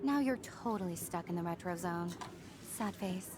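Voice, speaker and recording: A woman's voice: fairly low pitch, moderate pace, noisy background, natural voice. A woman playing a snobbish, catty rich art student in a video game, speaking in a precise, smug manner.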